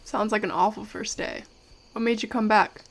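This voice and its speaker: Woman playing investigative podcaster, average pitch, curious, polite, conversational, audio drama narration. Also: fairly even intonation, moderate pace, close to an everyday voice, poor audio. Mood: sympathetic. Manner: courteous, conversational, addresses listeners directly. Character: curious, polite female investigative podcaster among zombie fighters.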